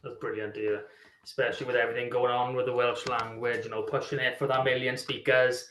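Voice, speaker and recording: A man's voice: mid-range voice, flat delivery, quick pace, fairly bright tone, slight background noise. A man hosting a podcast interview, asking friendly, conversational questions. His voice stays very level, with little rise and fall. The recording is roomy and echoey. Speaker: podcast host.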